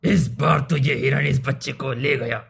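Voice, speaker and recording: Very gravelly, husky lion. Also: male voice, mid-range voice, steady tone, exaggerated voice. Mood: angry, menacing. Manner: slow, menacing. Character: fierce predatory male lion, gloating and hungry. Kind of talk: cartoon moral-story acting.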